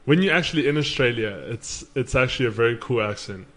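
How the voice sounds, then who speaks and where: A man's voice: mid-range voice, lively intonation, fast, fairly bright tone. A man chatting casually as a participant in a talk show. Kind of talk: casual chat.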